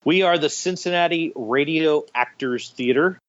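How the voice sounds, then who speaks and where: A man's voice: fairly high pitch, normal speed, a bit bright. Middle-aged man chatting, slightly dull recording. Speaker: guest, radio theater director. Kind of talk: conversational interview storytelling.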